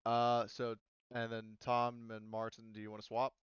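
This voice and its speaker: A man's voice: mid-range voice, monotone, moderate pace, highs cut off, a little hiss or hum. A man casually narrating a tabletop roleplaying game as game master, informal and prone to swearing.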